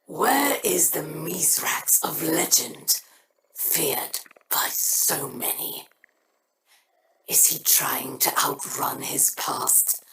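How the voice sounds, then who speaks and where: An actress playing a stern, solemn alien house leader in a video game, speaking in a measured way. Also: female voice, deep voice, big pitch swings, whispered, exaggerated voice, poor audio.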